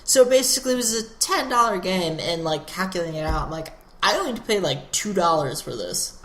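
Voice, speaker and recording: A man's voice: high voice, lively intonation, quick pace, fairly bright tone. Hesitant man in an echoey room. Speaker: podcast co-host. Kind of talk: casual chat about video games.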